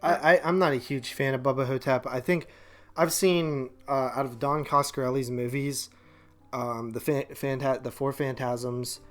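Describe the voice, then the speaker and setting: Male podcast co-host, middling pitch, casual halting movie talk, light guitar underneath. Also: fairly even intonation. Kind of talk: casual film review chat.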